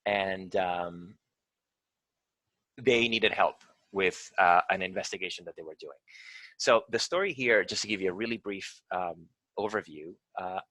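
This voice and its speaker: A man's voice: sits low, bright, forceful tone, no echo, rough recording. A man from Venezuela, an investigator, leading a live online tutorial over a video call, talking with many ums and uhs.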